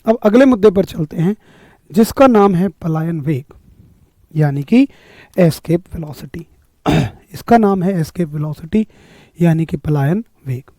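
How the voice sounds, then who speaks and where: A hoarse man leads a live exam-prep class, clearing his throat often. His voice sits fairly high for a man but sounds mellow and dark. The sound is dry and close, with no room echo. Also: animated, measured pace. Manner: greets viewers by name, upbeat coaching. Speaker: online exam-prep teacher. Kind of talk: live-streamed physics class.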